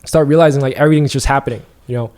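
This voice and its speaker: A man's voice: medium pitch, fairly even intonation, rapid-fire, darkish tone. A young man, a podcast guest, talking casually and rambling with lots of fillers and restarts. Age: young adult. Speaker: podcast guest, apparel brand founder. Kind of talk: casual interview conversation.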